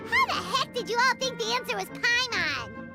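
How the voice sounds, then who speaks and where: A woman voicing a tiny, excitable, childlike fairy guide for a video game, squeaky and exclamatory, talking about herself in the third person. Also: a little slow, gravelly, full character voice. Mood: indignant. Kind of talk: video game cartoon-style character acting. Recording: loud music behind.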